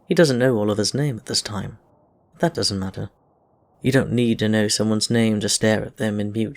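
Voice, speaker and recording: A man reading a scripted story aloud as an audiobook-style narrator. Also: on the low side. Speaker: audiobook-style reader of fan fiction. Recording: clear background noise.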